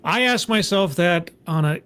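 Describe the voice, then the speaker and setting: A man's voice: high voice, lively intonation, normal speed, fairly bright tone, clean tone. A man hosting a reaction commentary, talking in a sarcastic, interrupting, exasperated way. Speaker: commentary host reacting to a talk.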